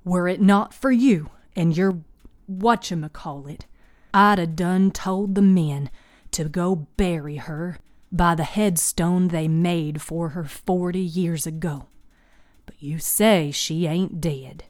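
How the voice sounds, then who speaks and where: A woman's voice: lowish voice, slow pace, a little mellow, no echo, clean studio sound. A woman voicing a sardonic older female healer in a storybook, plainspoken and folksy. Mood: grave, plainspoken.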